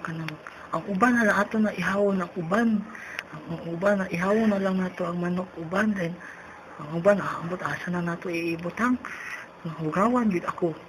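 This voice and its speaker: A woman voicing a forgetful elderly neighbour with a Cebuano accent, halting and repetitive on a prank phone call. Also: fairly low pitch, lightly acted. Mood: rambling, coaxing. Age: older. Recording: noisy phone-call recording.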